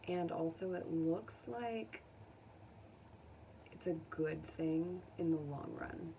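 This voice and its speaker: A woman giving a casual tarot reading to viewers, speaking gently and consolingly in an informal way. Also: on the low side, slow pace, gravelly. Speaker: tarot reader. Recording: distant mic, faint background noise.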